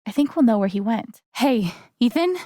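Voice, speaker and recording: A woman's voice: a little high, expressive, moderate pace, dark tone, no echo, clean studio sound, lightly acted. A woman voice acting an earnest, caring young heroine in a video game, with casual, hesitant, naturalistic delivery. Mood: thoughtful. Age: young adult. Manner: casual, hesitant, conversational phrasing.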